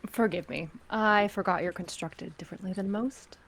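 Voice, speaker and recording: A woman's voice: medium pitch, normal speed, vocal fry, fairly bright tone, slight background noise. Woman playing a cool, menacing female spymaster. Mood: coolly polite. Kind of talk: script table read of sci-fi fan drama.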